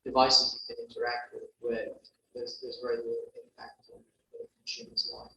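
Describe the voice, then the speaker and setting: A man's voice: medium pitch, flat delivery, moderate pace, bright, forceful tone. London-accented man presenting, stumbles and restarts; distant, roomy mic. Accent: London English. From London, England. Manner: hesitant, many restarts. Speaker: guest presenter. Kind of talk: answering audience questions at a meeting. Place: meeting room. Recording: echoey room, distant mic, faint hum.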